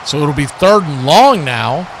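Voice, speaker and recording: Higher-voiced man calling football play-by-play. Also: a little slow. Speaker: live sports play-by-play commentator. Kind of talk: football radio-style play-by-play commentary with casual asides. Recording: steady background noise.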